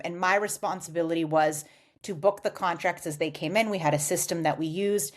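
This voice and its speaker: An American woman in her forties, giving measured, explanatory answers as a guest on a podcast interview. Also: fairly low pitch. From United States. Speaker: guest, customer success executive.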